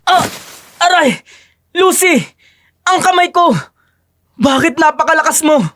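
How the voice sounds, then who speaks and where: A woman's voice: very high voice, a little slow, fairly bright tone, voice pushed up. A woman voicing a shocked, distressed husband and son in a pleading, dramatic manner. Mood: pained.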